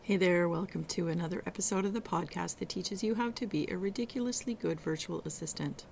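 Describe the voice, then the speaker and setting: A middle-aged woman hosts a solo coaching podcast, her voice hoarse and gravelly and pitched on the low side. She explains things in a conversational way and sometimes stops and restarts a phrase. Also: slight background noise. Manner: warm coaching tone, conversational with some halting restarts. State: hoarse, rough voice. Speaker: podcast host and virtual assistant coach.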